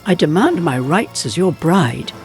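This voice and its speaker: A man's voice: a little high, very animated, measured pace. A male narrator puts on an undead bride from a spooky storybook tale. She is solemn and demanding, declaiming her claims like commands, with music behind.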